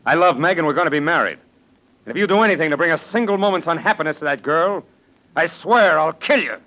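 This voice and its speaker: An actor playing a wry, defiant young heir in love, in 1940s radio drama style. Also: male voice, on the high side, animated, fast talker. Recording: muffled old-time radio recording.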